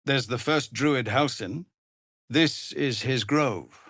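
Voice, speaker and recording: An actor playing a weary, dutiful leader of refugee outcasts in a video game, speaking formally and earnestly. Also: male voice, mid-range voice, slow, a bit bright, no echo, studio quality. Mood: grave, weary. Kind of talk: dramatic video game voice acting.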